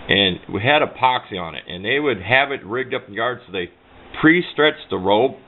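A man's voice: mid-range voice, expressive, moderate pace. A man giving casual hands-on commentary at a workbench, talking in a folksy, rambling way. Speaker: hobbyist radio video maker. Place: indoor workbench. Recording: tinny, band-limited camera mic with noise.

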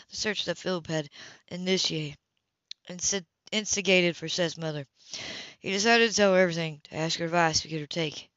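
A woman's voice: on the low side, a bit bright, no echo. A woman reading a romance novel aloud as an amateur, sometimes stumbling over words and correcting herself. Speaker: amateur audiobook reader. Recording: dull lo-fi home recording.

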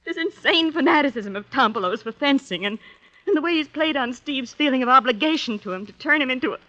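Bitter, high-voiced wife, old radio. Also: female voice, expressive, fast, sharp tone. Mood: distraught. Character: bitter, anxious young wife of a fencer. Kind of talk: 1950s radio acting. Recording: dull vintage 1950s radio recording.

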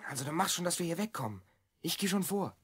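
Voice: mid-range voice, animated, quick pace, slightly rough, no echo, studio quality. An actor voicing an earnest, slightly bossy twelve-year-old boy time traveller in a German children's audio drama. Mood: urgent. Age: child figure, twelve.

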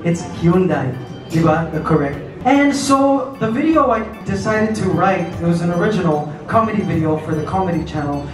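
A man's voice: high voice, lively intonation, normal speed. A man in his thirties from Canada, performing and chatting playfully with a party crowd on stage. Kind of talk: emceeing and singing at a party. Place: large indoor event hall with a crowd. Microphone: handheld mic, filmed from the back of the hall. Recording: echoey hall from far off with loud music.

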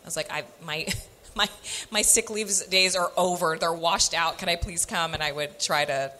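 A young woman, a stage actor, telling animated stories full of "like" on a convention panel, speaking into a handheld microphone. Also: on the low side, normal speed. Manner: animated storyteller with many fillers. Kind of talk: casual panel storytelling. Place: convention panel room, indoors.

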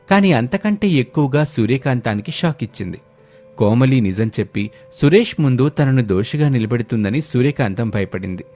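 A male storyteller with a mid-pitched voice reads a scripted family drama. His narration is dramatic, and music plays behind him. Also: a little mellow.

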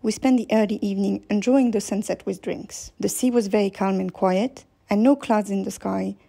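A woman giving a calm, informal voice-over narration with a gravelly voice. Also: mid-range voice, normal speed. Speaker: vlog narrator.

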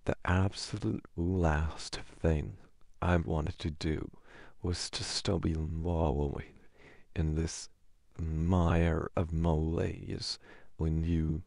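A man playing a flustered, sheepish, affectionate boyfriend in a hesitant, halting, wordy ASMR roleplay. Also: a bass voice, flat delivery, vocal fry, a little mellow, no room sound, clean studio sound, heavily acted. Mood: sheepish, confiding. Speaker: audio roleplay performer.

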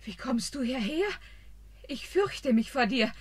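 A woman's voice: high voice, expressive, unhurried, heavy background noise. A gracious fairy-tale queen. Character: gracious young queen, proud but kind. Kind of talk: radio play acting.

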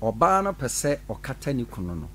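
A middle-aged man, a pastor from Ghana, telling his side in an animated radio studio interview. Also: mid-range voice, normal speed, a little hiss or hum. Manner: animated, gestures while talking, laughs often. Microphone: studio mic on boom arm.

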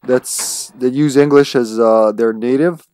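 A man gives casual live gameplay commentary. His pitch sits a little on the deep side. He rambles playfully and swears freely, with music playing behind him. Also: fairly even intonation, a little slow, a little mellow. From Serbia. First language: Serbian. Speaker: video game livestreamer.

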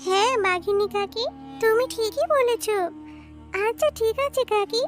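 A woman's voice: very high-pitched, unhurried. A woman voicing a sweet, helpful, childlike magical cartoon creature in a children's fairy tale, speaking simply and earnestly. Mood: sweet, eager. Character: childlike magical talking jackfruit and little fox girl, sweet, helpful. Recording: loud tinkling children's music behind.

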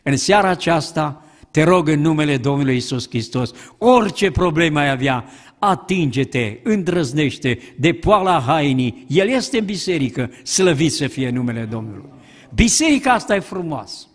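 An older man delivering a sermon at a pulpit in a church, talking with animated gestures into a pulpit microphone. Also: fairly high pitch, in a large hall. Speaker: preacher. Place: church hall. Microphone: pulpit mic.

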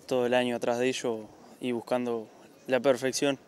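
A man's voice: medium pitch, level tone, moderate pace, darkish tone, a little hiss or hum. A young man with a Uruguayan accent answering interview questions outdoors. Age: young adult. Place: outdoors at a showground. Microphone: handheld interview microphone.

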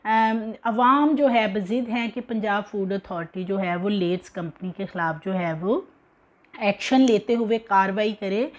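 A woman's voice: medium pitch, measured pace, dull audio. A young woman with a Pakistani Urdu accent giving spoken news commentary from a living room, talking conversationally with many fillers and an indignant tone. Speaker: news presenter. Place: indoor living room with TV behind.

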